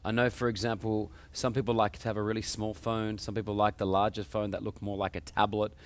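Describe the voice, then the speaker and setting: A man's voice: fairly low pitch, level tone, fairly quick. A man hosting a promotional interview show, speaking as an emphatic presenter. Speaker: host interviewer.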